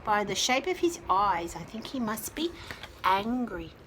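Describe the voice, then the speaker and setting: A woman reading aloud to young children, adding chatty asides and questions to listeners, with drawn-out emphasis on key words. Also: mid-range voice, very animated, fairly bright tone, a little hiss or hum, low-quality recording. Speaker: children's storyteller. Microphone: phone held nearby.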